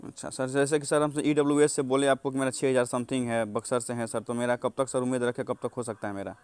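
A man's voice: medium pitch, flat delivery, fast, a little mellow, smooth. A young man with a Bihari accent making a deferential phone inquiry, polite, insistent and repeatedly addressing the listener as sir. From Bihar, India. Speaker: job candidate calling an official.

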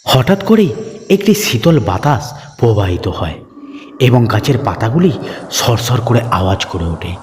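A man's voice: medium pitch, big pitch swings, normal speed, a little mellow, slight background noise. A man narrating a scripted horror cartoon story for children as its storyteller. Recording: long hall reverb over music.

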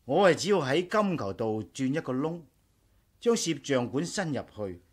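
Proud insistent engineer, Hong Kong Cantonese, animated pitch, middle register, radio drama. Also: male voice, normal speed, breathy edge. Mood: eager, proud. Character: eager, stubborn male engineer, proud of his gadgets. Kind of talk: 1980s radio drama acting.

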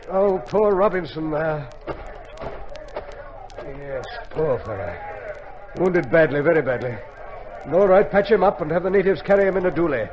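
An actor with a British accent playing a gruff, practical colonial army colonel, clipped and matter-of-fact, in 1950s radio drama style. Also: male voice, sits high. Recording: dull 1950s radio recording.

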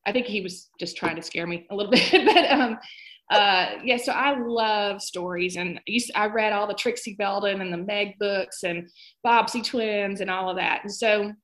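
A woman's voice: medium pitch, fairly bright tone. A woman in her fifties chatting casually as a guest author in an interview.

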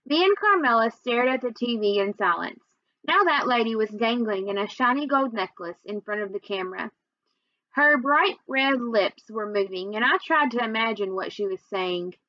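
A woman's voice: fairly high pitch, lively intonation, moderate pace, sharp tone, no room sound, lo-fi sound. A young adult woman narrating a children's book as a read-aloud, recorded indoors on a webcam. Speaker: reader. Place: small room at home, indoors.

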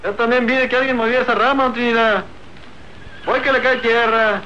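A man playing an excitable young country sidekick with a Mexican accent, whining and protesting in a radio soap opera. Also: extremely high pitch, a little fast. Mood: whiny, alarmed. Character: excitable, whiny young country sidekick, comic and loud-mouthed. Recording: dull, noisy old radio serial recording.

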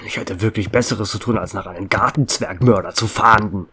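A man's voice: fairly low pitch, fairly quick, sharp tone, a little hiss or hum. A man playing a weary, sardonic small-town lawman with a dry, sarcastic manner in an audio drama. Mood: sardonic, resigned.

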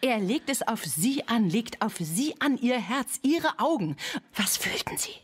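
A woman playing a sensation-hungry talk show host in a satirical radio drama, husky, effusive and probing. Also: a little high, big pitch swings, unhurried, a little hiss or hum. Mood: gushing, probing.

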